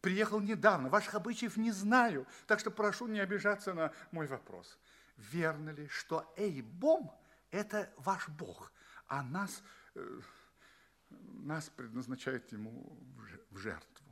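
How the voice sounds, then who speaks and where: A bewildered male space traveller in an audio play, anxious and courteous. His bright, fairly high voice swings widely as he narrates and asks halting questions. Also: animated, exaggerated voice. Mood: polite, curious.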